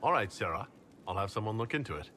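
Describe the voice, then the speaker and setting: Low-voiced, composed leader. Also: male voice, lively intonation, quick pace, sharp tone, slight background noise. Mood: businesslike. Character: calm, commanding male leader, reassuring. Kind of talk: video game dialogue acting.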